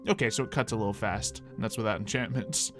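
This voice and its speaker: A man giving roleplay gameplay commentary, excitable and thinking aloud with frequent exclamations. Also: lowish voice, quick pace, over music. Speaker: gaming YouTuber.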